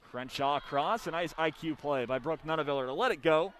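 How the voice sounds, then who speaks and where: A young man calls volleyball live for radio in a slightly high voice. His calls are punchy and clipped, swelling on the big plays. Faint arena noise sits behind him. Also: fairly quick, fairly bright tone. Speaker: radio play-by-play announcer. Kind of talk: live volleyball play-by-play commentary. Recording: background noise of a sports arena. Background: arena ambience.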